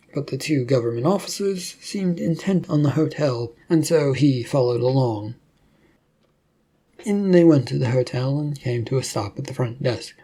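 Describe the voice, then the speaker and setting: A man narrates a written story at an average pitch. His tone is mellow and dark, with a husky edge rather than a clean, polished sound.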